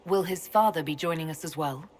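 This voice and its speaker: A woman plays an elven leader in a fantasy game. Her voice sits fairly low, and she speaks calmly and directly, with composed resolve. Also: brisk, natural voice. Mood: kind. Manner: calm, direct. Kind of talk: video game dialogue acting.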